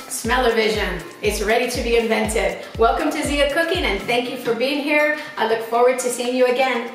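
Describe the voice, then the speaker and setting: A woman in her forties hosting a cooking show, talking viewers through each step in an upbeat, chatty way in her home kitchen. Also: sits high. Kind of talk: cooking demonstration to camera. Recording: very echoey kitchen, voice a bit distant.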